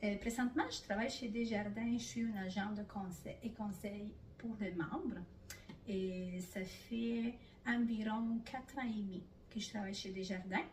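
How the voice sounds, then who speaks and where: A middle-aged woman from Italy speaks French with a clear Italian accent. Her speech is casual and hesitant, full of fillers. She sounds set back from the mic in a roomy space with faint background noise. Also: mid-range voice, fairly even intonation, moderate pace, a little mellow, far from the mic. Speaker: guest. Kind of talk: casual interview.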